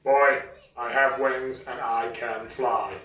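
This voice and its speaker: Man acting dragon father, puppet show, greedy, doting, hammy, unhurried delivery, distant from the mic. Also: mid-range voice, level tone, pushed and bright, highs cut off. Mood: boastful. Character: big greedy dragon dad, doting and pig-obsessed. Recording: roomy, echoing, distant from the mic.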